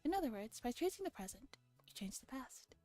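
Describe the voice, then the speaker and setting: A woman's voice: high voice, sing-song, fairly quick, warm tone, soft background music. A woman voicing a condescending, self-assured internet theorist in a visual novel, with a lecturing manner. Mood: patient condescension.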